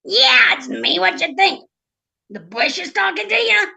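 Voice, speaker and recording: Woman doing a loud, cocky little male frog. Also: very high voice, big pitch swings, a little slow, vocal fry, voice pushed up, full character voice. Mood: cocky, indignant. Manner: loud, slangy, wheedling street talk. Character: small cocky male talking frog, pushy slick hustler. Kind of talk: storytelling character voice.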